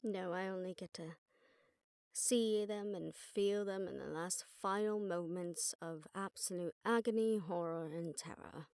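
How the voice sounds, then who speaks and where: A woman's voice: on the low side, unhurried, a little mellow, clear, resonant tone, no echo. Wry witch investigator, played by a woman, dry close sound. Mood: bitter, sarcastic. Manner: sardonic, conversational. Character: wry, jaded young witch investigator who sees death visions. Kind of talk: roleplay audio drama, spoken to the listener as a partner.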